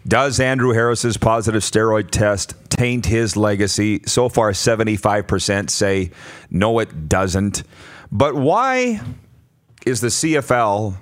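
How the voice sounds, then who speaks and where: A man's voice: on the low side, animated, a little slow. A man hosting a live sports talk discussion, posing questions to steer the conversation. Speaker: sports talk show host.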